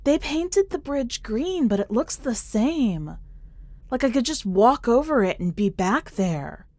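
A woman's voice: a little high, sing-song, unhurried, a little mellow, no room sound, pitched above the performer's own voice, exaggerated voice. A woman voicing a tired, bitter and defiant middle-aged woman in an audio story. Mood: wistful.

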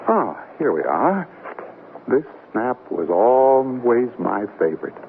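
An actor playing a wry, mildly sheepish newlywed husband in an old-time radio comedy, bantering. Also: male voice, medium pitch, sing-song, takes it slowly, narrow, tinny audio. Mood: affectionate teasing. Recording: thin, hissy vintage radio recording.

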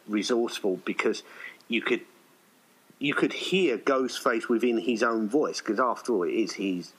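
Low-voiced man, rambling casually. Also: fairly bright tone, slightly rough, poor audio. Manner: rambling, hesitant, thinking aloud. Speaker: writer-director of an audio play being interviewed. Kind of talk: casual interview chat.

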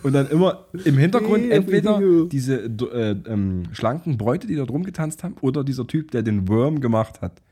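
A man's voice: medium pitch, very animated, moderate pace, hardly any pauses, dark tone, breathy edge. A man co-hosting a casual podcast, teasing the others and laughing a lot. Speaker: podcast co-host. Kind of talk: casual banter.

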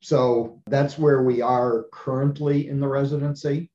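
A man from the United States, an eye surgeon being interviewed, explaining his work in a measured way with frequent pauses. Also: mid-range voice, fairly even intonation, mellow, echoey room, lo-fi sound. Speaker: ophthalmologist guest. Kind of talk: conversational interview.

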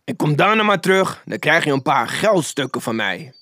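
A man voicing a hearty, exclamatory old man, giddy and generous with a mock-gruff streak, in a storybook reading. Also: fairly high pitch, big pitch swings, moderate pace, pushed and bright, no room sound, studio quality. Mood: excited, delighted.

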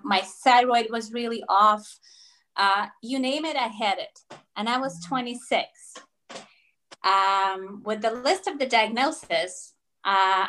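A woman's voice: medium pitch, fairly bright tone. A woman in her thirties, born in Russia with Russian as her first language, telling her story as an interview guest over a video call, thoughtful with many fillers and restarts. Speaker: naturopathic doctor, guest.

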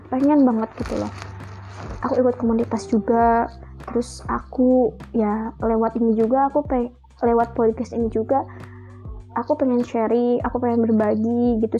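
A woman's voice: fairly high pitch, mellow, dull audio. A young woman hosting her first solo podcast, talking in a chatty, reflective way with many fillers. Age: young adult. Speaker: podcast host. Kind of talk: casual solo podcast monologue.

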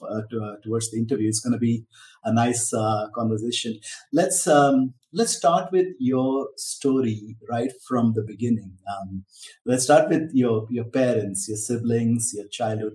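A man's voice: medium pitch, warm tone. Guy hosting a podcast, mid voice, roomy echo, stumbles a bit. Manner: halting, stumbling over words. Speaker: podcast host.

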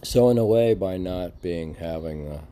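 A man reading poetry aloud with halting, musing, informal commentary, often answering his own questions. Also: sits low, mellow. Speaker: reader and commentator of mystic poetry.

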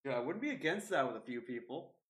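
A man's voice: medium pitch, very animated, fast talker, a bit bright, poor audio. A young man co-hosting a casual podcast, reminiscing in an easygoing way. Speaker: podcast co-host. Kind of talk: casual chat.

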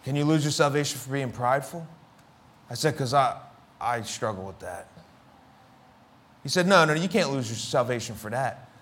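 A middle-aged male pastor with a Philadelphia accent, preaching with dramatic swings between loud declarations and hushed words, and acting out conversations as he tells stories. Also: mid-range voice, animated, normal speed, pauses often. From Philadelphia inner city. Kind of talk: sermon.

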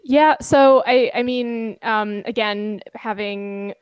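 Young woman, podcast co-host, reflective and halting, dry close sound. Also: on the high side, darkish tone, clean tone, no room sound. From Philippines. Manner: thoughtful, hesitant with many fillers. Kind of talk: casual podcast chat.

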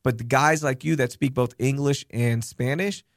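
A young man, born in Pakistan, hosting a casual podcast chat, telling candid, animated stories with some swearing. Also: mid-range voice, moderate pace, dry recording, clean studio sound. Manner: candid, animated storytelling with profanity. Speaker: podcast host.

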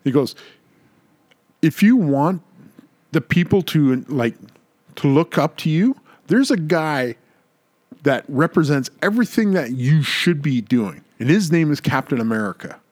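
A man's voice: a little high, expressive, a little slow, a little mellow, no room sound. A man co-hosting a podcast in casual, opinionated chat, making points emphatically and repeating words for stress. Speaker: podcast co-host.

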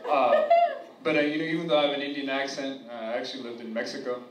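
A man's voice: mid-range voice, fast talker. A young man from New Jersey doing a crude, rambling stand-up set, full of fillers and laughing at his own jokes. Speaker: stand-up comic at an open mic. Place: comedy club room with a live audience. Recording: echoey room, far from mic, loud hiss.

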